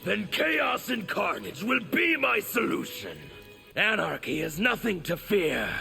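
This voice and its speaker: An actor playing a fiery, fanatical anarchist villain in a video game, with a harsh gravelly voice and theatrical ranting. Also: male voice, sits high, expressive, unhurried, soft background music, exaggerated voice. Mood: defiant, scornful. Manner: ranting, forceful. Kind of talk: dramatic video game dialogue.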